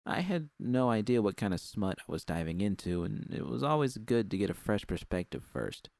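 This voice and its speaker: Male audiobook narrator for first-person fiction: deep, fry-edged voice, dry studio-like sound. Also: very animated, normal speed, a little mellow, no room sound.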